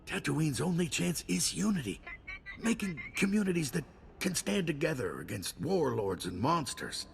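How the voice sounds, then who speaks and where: An older man in a game, a retiree who champions his community. His voice is gravelly and swings expressively as he argues earnestly. Faint ambient noise sits behind him. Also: mid-range voice. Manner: earnest, persuasive. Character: gruff, idealistic retired man turned community volunteer. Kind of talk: video game dialogue.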